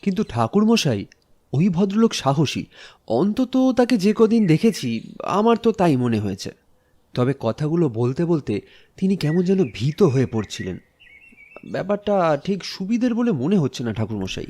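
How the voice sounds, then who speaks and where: A man's voice: fairly high pitch, big pitch swings, normal speed, a little mellow. A man playing a troubled, earnest office worker in an audio story, speaking hesitantly and respectfully. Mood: worried, respectful. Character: Kishori, troubled male office-going friend, earnest and hesitant. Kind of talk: Bengali audio story acting.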